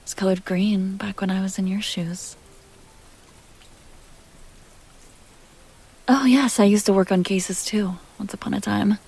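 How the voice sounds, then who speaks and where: A woman performs as an immortal vampire heiress in an intimate ASMR roleplay. Her voice is husky and breathy, often sinking into a whisper with a crackle of fry. She is unhurried and coaxing, by turns teasing, tender and wistful. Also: mid-range voice, steady tone, a little mellow. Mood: musing, sultry.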